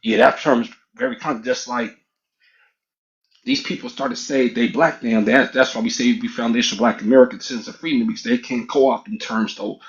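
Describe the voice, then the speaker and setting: A man's voice: mid-range voice, steady tone, brisk, lo-fi sound. A middle-aged man speaking up in an audio room, arguing assertively in a rambling, repetitive way. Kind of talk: casual heated argument.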